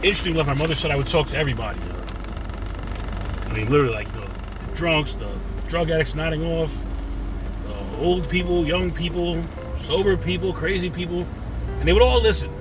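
A man in his forties with a deep voice hosts a casual solo podcast from his car, rambling conversationally and going off on tangents. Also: a little fast, over music. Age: 40s. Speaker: podcast host. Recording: car noise in the background. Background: car and road noise.

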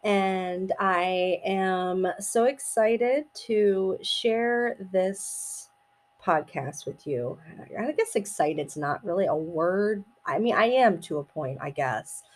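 A middle-aged woman with a slightly raspy voice hosts a personal podcast, speaking casually in a hesitant, reflective, halting way. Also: mid-range voice, animated. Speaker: podcast host.

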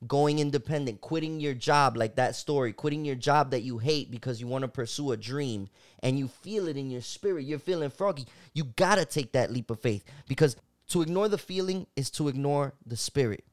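A man's voice: mid-range voice, a little fast. A man hosting a podcast, talking in a casual, slangy and reflective way. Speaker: podcast host. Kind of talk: casual conversational podcast talk.

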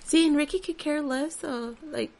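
A woman who is a podcast guest, chatting casually and answering in a relaxed, agreeable way. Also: sits high, normal speed. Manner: relaxed and agreeable, brief replies. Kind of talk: casual chat.

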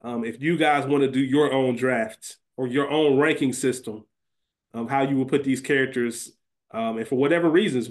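A man co-hosting a podcast, chatting informally and conversationally. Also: mid-range voice. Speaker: podcast co-host. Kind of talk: casual banter about a TV series fantasy draft.

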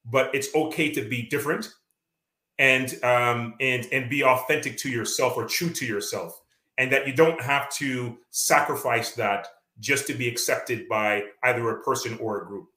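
Earnest Canadian man, bright echoey voice. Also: medium pitch, normal speed. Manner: earnest, many uh fillers and restarts. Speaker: author guest. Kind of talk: reflective interview talk.

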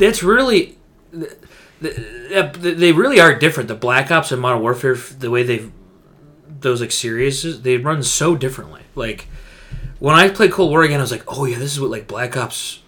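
A man's voice: mid-range voice, animated, moderate pace, fairly bright tone. A man co-hosting a gaming podcast, chatting casually in an emphatic, slangy way and often repeating himself for effect. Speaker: podcast co-host.

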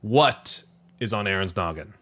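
Male podcast co-host, bright and punchy, very animated delivery, playful and conversational. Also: fairly low pitch, a little slow. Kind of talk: casual podcast chat.

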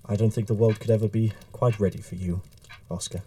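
A man acting in an audio drama as an earnest, dry, slightly awkward male Victorian writer, speaking in a formal, measured, wry way. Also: lowish voice, steady tone, dark tone, lightly acted.

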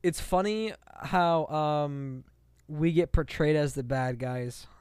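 A man's voice: fairly high pitch, slow pace, darkish tone, clean tone, dry recording, studio quality. A man from Iran, whose first language is Farsi, co-hosting a casual podcast and rambling with lots of fillers and swearing. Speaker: podcast co-host.

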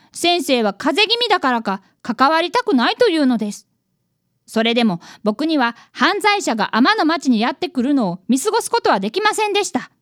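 A very high, lively boy's voice acted by a woman, close and dry. He is an earnest young apprentice detective in a radio drama, polite and dramatic in the way he tells things. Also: dry recording. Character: earnest excitable eleven-year-old boy apprentice detective. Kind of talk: radio drama narration and dialogue.

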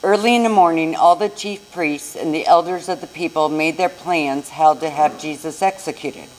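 A woman's voice: low voice, moderate pace, a little mellow, low-quality recording. An American woman reading a scripture narrative aloud as narrator in a live church recording. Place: church service.

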